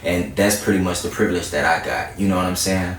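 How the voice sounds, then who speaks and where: A man's voice: sits low, fairly even intonation, fairly quick, a bit bright. A man talking casually as an interview guest, a singer telling stories in slangy, conversational speech. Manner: slangy, conversational storytelling with frequent check-ins. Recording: echoey room, distant mic, faint noise.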